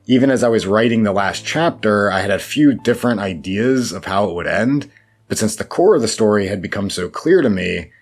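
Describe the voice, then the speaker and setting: A man giving reflective interview answers in a thoughtful, self-deprecating way with wry asides. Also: on the low side. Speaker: interview guest, horror fiction writer. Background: background music.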